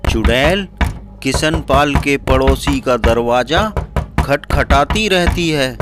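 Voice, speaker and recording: A man's voice: medium pitch, takes it slowly. A man narrating a scripted horror story for a cartoon. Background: background music.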